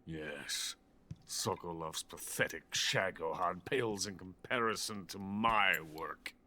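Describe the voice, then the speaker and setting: An actor playing a drunk, boastful older Soviet weapons scientist in a video game cutscene. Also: male voice, sits low, slow, sharp tone, a little hiss or hum, heavily acted. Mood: bitter, scheming. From Soviet Union. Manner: pompous, self-important, bitter.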